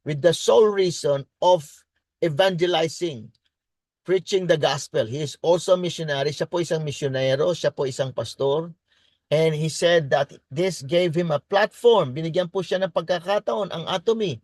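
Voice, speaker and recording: Filipino man preaching, higher-pitched voice, dry sound. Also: no room sound. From Philippines. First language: Tagalog. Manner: switches between English and Tagalog, restating points in both. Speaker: presenter and speaker. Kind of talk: faith-based business presentation, preaching.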